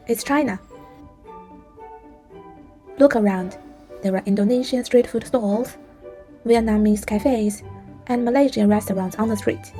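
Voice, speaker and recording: A woman narrates a travel documentary in a measured, explanatory scripted voice-over. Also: a little high, mellow.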